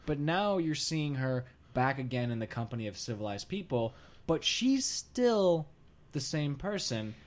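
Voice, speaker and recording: A man's voice: a little high, very animated, measured pace. A man co-hosting a podcast in casual group chat, animatedly acting out imagined bits of dialogue as he talks. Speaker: podcast co-host.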